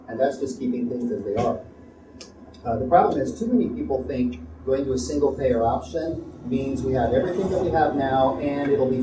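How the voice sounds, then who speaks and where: Man, panel guest, measured point-by-point delivery, distant mic in a noisy echoey room. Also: medium pitch. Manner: measured, lists points in order. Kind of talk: debate remarks. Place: tobacco shop, live radio show. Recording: echoey room from far off, background noise.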